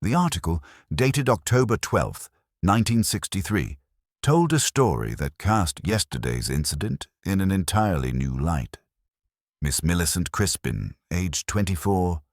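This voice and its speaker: A man reading scripted detective fiction as an audiobook narrator, in a measured, literary storytelling manner. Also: rumbling low, no echo, clean studio sound.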